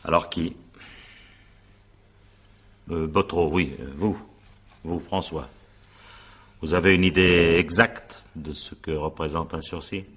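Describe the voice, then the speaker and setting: An actor playing an examining magistrate in a French radio crime drama, probing and insistent, with a husky voice and pointed tag questions. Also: male voice, low voice, steady tone, slow pace, pauses often, a bit bright, exaggerated voice. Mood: probing, measured.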